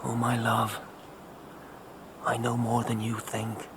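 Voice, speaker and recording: An actor playing a tough, defiant young counterfeiter on the run in a radio drama, terse and unflinching. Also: male voice, medium pitch, monotone, slow pace. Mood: tender, guarded. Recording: clearly noisy background ambience.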